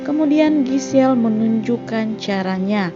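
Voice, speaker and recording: Slow-paced woman narrating, higher voice. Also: lively intonation, lo-fi sound. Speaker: film recap narrator. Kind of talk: scripted narration of a film plot. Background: background music.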